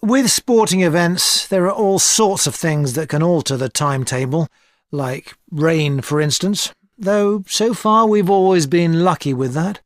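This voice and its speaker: Actress as a calm, measured female tutor. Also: on the low side, dry recording, close to an everyday voice. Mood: advising. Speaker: tutor advising a student. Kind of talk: scripted exam dialogue. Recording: dry studio recording.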